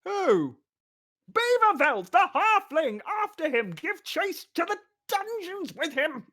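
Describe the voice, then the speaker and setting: A man's voice: very high-pitched, big pitch swings, a little slow, a bit bright, voice pushed up, heavily acted. A man voicing a pompous, skinny male town official who shrieks in outrage, in an audiobook. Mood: shrieking outrage. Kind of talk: audiobook character voice.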